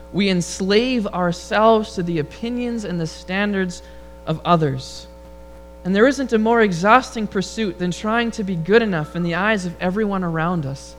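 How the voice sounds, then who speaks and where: High-voiced man preaching conversationally. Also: low-quality recording. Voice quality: high, rather feminine-sounding voice. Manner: relaxed, storytelling delivery with humor. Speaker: pastor. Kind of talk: conversational sermon with prayer. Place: church sanctuary before a congregation.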